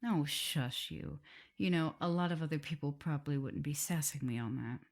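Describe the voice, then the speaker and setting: A woman playing an affectionate, teasing vampire mistress with a prim teacherly side, in a soft, intimate, often whispered ASMR roleplay. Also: low-pitched, normal speed. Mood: playfully sassy. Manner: tender, playful, hesitant murmuring.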